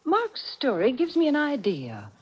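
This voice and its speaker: A woman giving a polished, chirpy scripted sponsor's pitch in 1940s radio style. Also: huge pitch swings, unhurried, husky. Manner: chirpy, polished sales delivery. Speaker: sponsor's spokeswoman. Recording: dull vintage radio recording.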